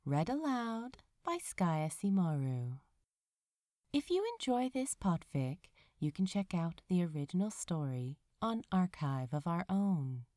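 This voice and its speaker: A woman reading a story aloud as an expressive audiobook-style narrator. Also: fairly low pitch, unhurried, no room sound, studio quality. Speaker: podfic reader, narrator.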